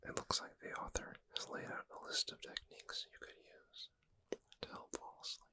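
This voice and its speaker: A performer whispering as a gentle witch bookseller in an ASMR roleplay, soothing and unhurried, with a breathy, unvoiced delivery. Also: close to an everyday voice. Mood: soothing, calm. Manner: soft, slow, soothing, unhurried.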